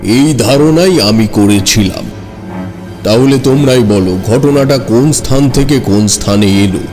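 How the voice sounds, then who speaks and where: A man narrating a scripted horror story in the first person, measured and suspenseful. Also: mid-range voice, dark tone. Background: background music.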